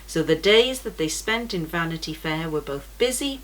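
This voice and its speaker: A woman reading a children's story aloud for a church program, in a gentle, measured storytelling manner. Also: sits low, expressive, slight background noise, poor audio.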